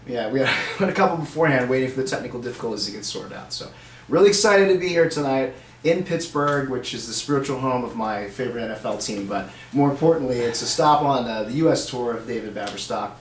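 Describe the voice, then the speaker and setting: A middle-aged man hosts a live webcast in an easy, upbeat manner, dropping fillers as he goes. His mid-pitched voice rings in a roomy space, with a faint hiss underneath. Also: lively intonation, fast talker. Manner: chatty, upbeat, many fillers. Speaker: host.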